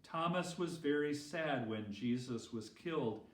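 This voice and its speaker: A man, a pastor, reading a children's story expressively with deliberate phrasing. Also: fairly high pitch, expressive. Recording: roomy echo, distant microphone.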